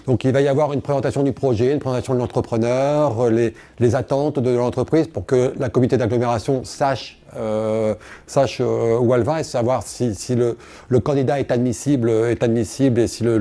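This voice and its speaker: A man in his forties explaining things unscripted in a promotional interview, halting and repeating words as he goes. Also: mid-range voice, mellow. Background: background music.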